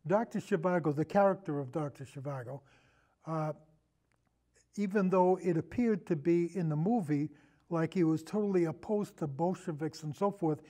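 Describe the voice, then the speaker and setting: An older man with a notably high-pitched voice and a mellow, relaxed tone. He talks as a guest in a casual film discussion, wandering and halting as he goes. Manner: rambling, halting, trails into et cetera. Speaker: podcast guest, longtime radio show host.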